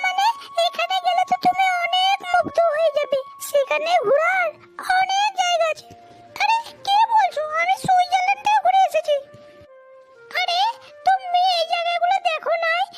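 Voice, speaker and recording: A woman voicing a spoiled, boastful young female animal in a cartoon, whiny and haughty. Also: extremely high pitch.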